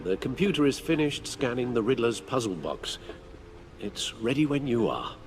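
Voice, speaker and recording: An actor playing a loyal older butler in a video game, formal and dryly witty, a fretful caretaker. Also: male voice, medium pitch, very animated, moderate pace, close to an everyday voice. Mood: dry, courteous. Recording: music behind the voice.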